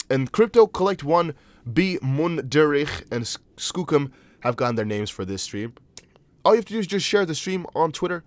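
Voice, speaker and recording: A young man whose first language is Dutch, speaking English with a Dutch accent. He hosts a casual crypto livestream, chatting loosely, reading chat aloud and thinking out loud with lots of fillers. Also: medium pitch, dry recording. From Netherlands. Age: young adult. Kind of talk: casual livestream chart analysis.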